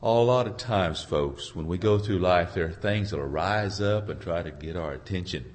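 A hoarse man preaching an informal sermon in a halting, folksy way, searching for his words and clearing his throat. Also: low voice. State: hoarse from a cold. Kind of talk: informal sermon with congregation responses.

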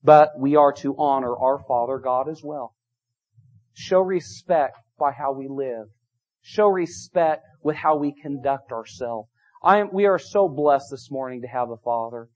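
A man's voice: medium pitch, a little slow, darkish tone. A middle-aged man preaching a sermon, emphatic and repetitive, his volume rising and falling. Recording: dull lo-fi sound.

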